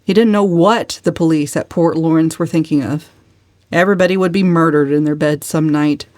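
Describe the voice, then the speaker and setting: A woman's voice: low voice, normal speed, a little mellow, no room sound, studio quality. A woman narrating a novel as a dramatic, scripted literary reading. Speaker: audiobook narrator.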